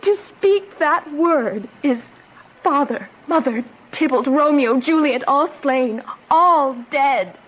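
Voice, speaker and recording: A woman playing an anguished, lovestruck young noble bride in a radio Shakespeare tragedy, with impassioned, drawn-out verse delivery. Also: sits high, expressive, low-quality recording. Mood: despairing.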